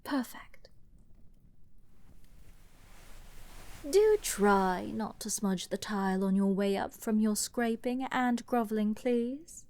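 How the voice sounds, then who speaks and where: A woman playing an arrogant, flirty princess with a dark, mocking edge in a dramatic fantasy ASMR roleplay, teasing and often murmuring. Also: medium pitch, natural voice. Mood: commanding, condescending. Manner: haughty, teasing, deliberate, often dropping to breathy murmurs.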